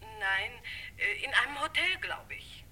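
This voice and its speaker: Bright, high-voiced wife on telephone. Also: female voice, lively intonation, unhurried, exaggerated voice. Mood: polite. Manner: courteous, obliging. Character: polite, unsuspecting wife answering the telephone. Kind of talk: German radio crime drama acting. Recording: thin, noisy distant telephone line.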